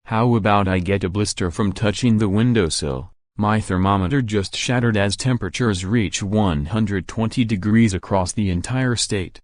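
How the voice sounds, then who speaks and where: Casting: a man to play an exasperated TV weatherman, lowish voice, dry recording, sardonic mock-bulletin delivery. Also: no room sound, natural voice. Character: exasperated male weather forecaster losing his composure in heat. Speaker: weather forecaster. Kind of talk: scripted weather broadcast parody.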